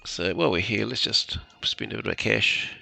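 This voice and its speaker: Guy muttering while he plays a game, real gravelly, bit of music behind, casual. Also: lowish voice, moderate pace, pushed and bright. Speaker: gamer, commentator. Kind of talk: casual gameplay commentary to himself.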